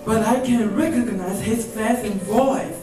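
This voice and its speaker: A woman's voice: on the high side, unhurried, lightly acted. A young woman playing an earnest, grateful war-veteran father in a student radio play, recorded in an echoey hall. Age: young adult. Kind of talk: student radio drama acting. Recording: very echoey hall, distant mic, music behind.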